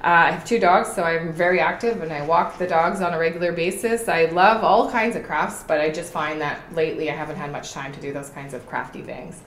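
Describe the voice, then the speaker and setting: A middle-aged woman with a low voice talks with passion and emphasis, answering interview questions. The sound is roomy, with a faint hiss underneath. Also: fairly quick, hardly any pauses, a bit bright. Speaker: political candidate. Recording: echoey room with faint noise.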